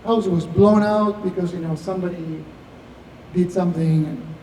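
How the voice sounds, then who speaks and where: Distant, high, mellow Argentinian man. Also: fairly even intonation, normal speed. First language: Spanish. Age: 50s. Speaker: tech founder, guest speaker. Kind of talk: live Q&A, anecdotal talk. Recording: distant echoey hall, faint noise.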